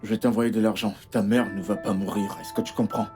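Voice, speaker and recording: An actor playing a kind, generous employer, protective and insistently soothing, in a melodramatic film. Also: male voice, fairly low pitch, little pitch movement, fairly quick, natural voice. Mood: reassuring. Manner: insistent, soothing, repeats himself. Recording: music under the voice.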